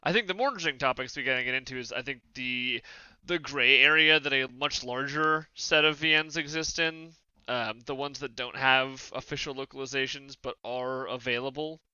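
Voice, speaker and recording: A man's voice: medium pitch, normal speed, sharp tone, clear, resonant tone, lo-fi sound. A man on a podcast panel talking casually in a discursive, hedging way, close to the mic.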